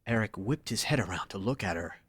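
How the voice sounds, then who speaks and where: A man's voice: lowish voice, expressive, fairly quick, fairly bright tone, breathy edge, no echo, studio quality. A man narrating a scripted romantic story for an audio drama, reading dramatically.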